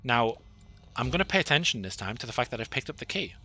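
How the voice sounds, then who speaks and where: A man giving rambling, self-deprecating commentary over his own gameplay, with many fillers and a flat, monotonous delivery. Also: mid-range voice, quick pace, slightly rough. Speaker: gaming channel host.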